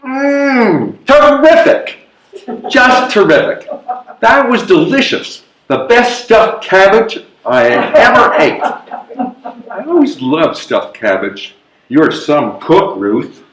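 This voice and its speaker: An amiable, flustered old gentleman, played by a man in a stage comedy reading. His fairly high voice moves very slowly and swoops dramatically up and down. He sounds evasive, and the room echoes. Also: big pitch swings, full character voice. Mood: hearty, contented. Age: older. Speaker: amateur readers theatre performer. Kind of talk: readers theatre stage comedy. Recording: roomy, echoing live stage recording.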